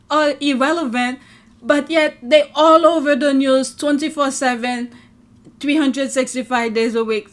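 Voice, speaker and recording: A woman whose first language is not English, hosting a casual commentary show and reacting to clips with frequent laughter. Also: high voice. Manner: animated, laughs often, talks to her audience. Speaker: host.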